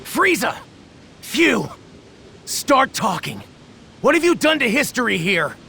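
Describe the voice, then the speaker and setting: Gravelly, forceful young warrior. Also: male voice, very high-pitched, sing-song, slow pace, a little hiss or hum, full character voice. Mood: angry urgency. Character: serious, determined young warrior on guard. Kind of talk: animated game cutscene acting.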